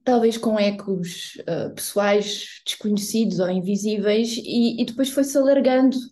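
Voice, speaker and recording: A middle-aged woman, a photographer answering interview questions thoughtfully, often pausing to search for words. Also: mid-range voice, dark tone. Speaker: guest photographer.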